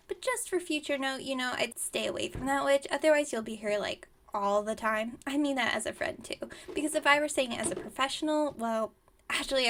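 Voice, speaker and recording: Woman as scatterbrained young witch running a shop: high voice, theatrical salesmanship, chatty rambling, ASMR roleplay. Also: gravelly, a bit bright, full character voice. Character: flustered, dramatic, scatterbrained young female witch shopkeeper.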